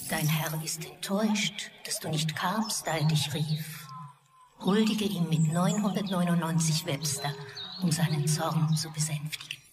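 A solemn, imperious female cult machine in a sci-fi radio play. Her lowish voice is measured and ceremonial, set in loud music. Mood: hushed menace. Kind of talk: German sci-fi audio drama acting.

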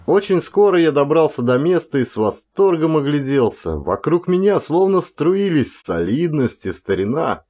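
Man narrating an audiobook with lively pitch swings. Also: normal speed, hardly any pauses. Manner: expressive, acted-out storytelling. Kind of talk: first-person dramatic audiobook narration.

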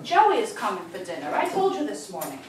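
A woman's voice: mid-range voice, huge pitch swings, a little fast. An older woman acting a nagging but doting elderly grandmother and wife in a live stage play. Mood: doting, fussing. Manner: nagging, fussing. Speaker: stage actor. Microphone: far camera microphone. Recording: echoey theater, distant mic, clear noise.

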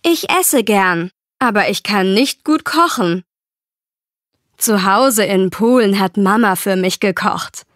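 A woman voicing a young woman from Poland, reading a scripted textbook monologue slowly and clearly. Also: mid-range voice, expressive, fairly bright tone, dry recording, clean studio sound. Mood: plain. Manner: slow, careful, clear delivery. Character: young Polish woman who cannot cook.

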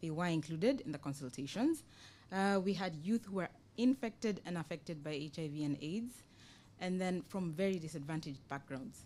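A young woman with a Kenyan accent gives an informal panel presentation as an NGO project officer, explaining in a measured way with hesitant pauses. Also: fairly low pitch, mellow. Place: conference room, indoors, panel table. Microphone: table microphone on a stand.

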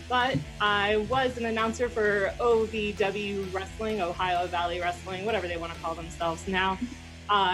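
American woman, thirties, snarky casual podcast banter, medium pitch, music in the background. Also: low-quality recording. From United States. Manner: snarky, wry. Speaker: podcast co-host, former wrestling announcer. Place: small room indoors. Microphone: desk microphone.

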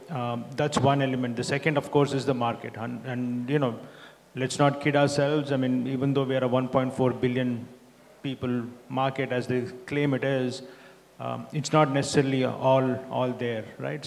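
A middle-aged man on a conference panel, explaining his investing approach in a measured way with frequent fillers, speaking into a handheld mic. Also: mid-range voice, creaky, darkish tone. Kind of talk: panel discussion answer. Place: large conference hall stage. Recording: reverberant large hall.

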